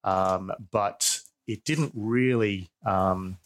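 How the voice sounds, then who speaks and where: A middle-aged Australian man with a fairly low voice. He speaks as an expert guest, explaining his field in an informal, conversational way. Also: very slow. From Perth, Australia. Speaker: expert guest, professor and researcher.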